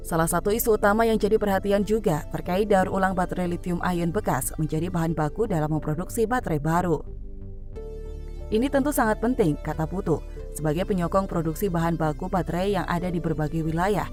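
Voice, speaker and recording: Low-pitched woman narrator over music. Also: fairly quick, a little mellow. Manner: formal, read-out delivery. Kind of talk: scripted news commentary narration. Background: background music.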